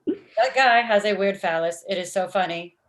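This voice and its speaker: Woman as robot matchmaker, stilted literal phrasing, blunt, secretly smitten, mid pitch, comedy table read. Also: moderate pace, close to an everyday voice. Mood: deadpan, chatty. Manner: stilted, uncontracted, machine-like phrasing. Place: indoors on a video call.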